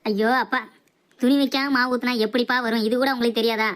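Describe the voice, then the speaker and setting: A woman's voice: on the high side, steady tone, quick pace, lightly acted. A woman voicing a cheeky, food-loving young son in a comic cartoon. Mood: teasing.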